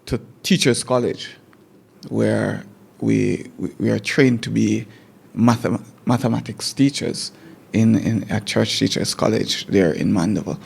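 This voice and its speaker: A Jamaican man in his forties talks through his past as a teacher, unhurried and reflective. His voice has a gravelly, creaky edge, and he often doubles back on a word while he gathers his thoughts. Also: on the low side, fairly even intonation, darkish tone. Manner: repeats words while recalling, measured storytelling. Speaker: guest teacher. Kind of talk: conversational interview.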